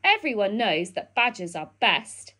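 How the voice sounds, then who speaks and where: A woman's voice: medium pitch, sing-song, unhurried, sharp tone, exaggerated voice. A woman voicing a bossy, self-important male badger in a children's storybook, with emphatic, sing-song commands. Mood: smug.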